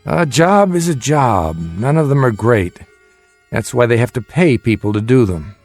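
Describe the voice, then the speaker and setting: An actor in a radio drama playing a weary middle-aged father, gentle but guarded and measured in his delivery. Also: mid-range voice, lively intonation, soft background music. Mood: defensive. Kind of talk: naturalistic radio drama acting.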